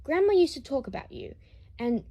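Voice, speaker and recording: A woman plays a soft-hearted young boy in an audiobook drama. He speaks in a high, hesitant, pleading voice, trusting and earnest. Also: very animated, moderate pace, highs cut off. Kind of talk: dramatised audiobook reading of fan fiction.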